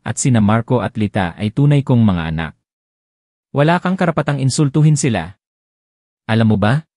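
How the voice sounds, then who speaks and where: A man voicing an angry young husband who speaks forcefully and firmly in defense of his wife and children. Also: medium pitch, no room sound, studio quality, lightly acted. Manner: forceful, shouting, then calm but firm.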